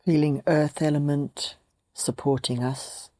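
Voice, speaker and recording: Woman, meditation teacher, very deep pitch, mellow tone, gentle, short phrases, long pauses. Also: steady tone. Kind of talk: guided meditation.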